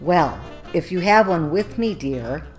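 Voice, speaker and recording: A woman playing a prim older mother in a radio play, measured and mildly disapproving. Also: fairly low pitch, expressive. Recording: music behind the voice.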